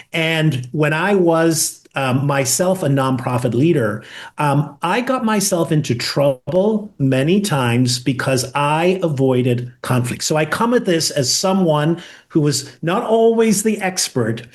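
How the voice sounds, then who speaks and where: Northern Irish man, higher-pitched voice. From Northern Ireland. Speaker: podcast guest, conflict resolution expert. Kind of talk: reflective interview talk.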